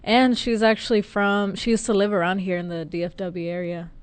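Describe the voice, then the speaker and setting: A woman, co-hosting a casual podcast and chatting in a relaxed way. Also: mid-range voice, fairly quick, clear, resonant tone, no room sound. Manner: relaxed, lots of affirming murmurs. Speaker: podcast co-host.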